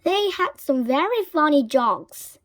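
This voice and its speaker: A woman reading a children's story aloud in a dramatic way, sometimes hushed. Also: sits high, very animated, slow, no room sound. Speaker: storybook reader.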